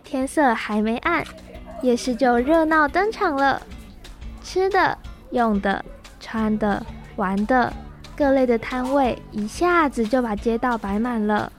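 A woman's voice: a little high, animated, a little slow, soft background music. A young woman reading scripted narration for a children's radio drama. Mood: narrating. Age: young adult. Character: storyteller of a children's family tale.

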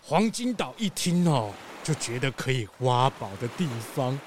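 A man's voice: medium pitch, sing-song, normal speed, background noise, lightly acted. A man playing a sly, boastful, treasure-hungry schemer coaxing others in a children's audio drama. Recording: music behind the voice.

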